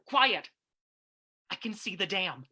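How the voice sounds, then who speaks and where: A woman's voice: medium pitch, very animated, slow, bright, forceful tone, husky, exaggerated voice. A woman playing a nervous male criminal accomplice in a radio mystery, curt and irritably complaining. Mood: snappish. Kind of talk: old-time radio mystery acting.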